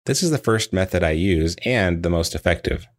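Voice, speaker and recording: A man narrating a scripted training lesson in a measured, explanatory way, as if reading from prepared text. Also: low voice, lively intonation. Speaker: dental practice trainer.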